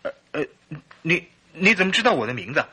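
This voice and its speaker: Sharp, agitated young gentleman. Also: fairly high pitch, big pitch swings, brisk, slightly rough, full character voice. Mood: nervous. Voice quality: sharp, strained. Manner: agitated, stammering, hesitant. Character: anxious, impatient gentleman client of about thirty. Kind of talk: Chinese radio drama acting.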